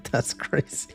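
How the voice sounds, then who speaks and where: A young man casually chatting with viewers while playing a game, speaking in a flat, low-energy way with a slightly congested voice. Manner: flat, low-energy, self-described boring voice. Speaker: streamer reading chat. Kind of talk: casual gaming livestream chat. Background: faint game music and keyboard typing.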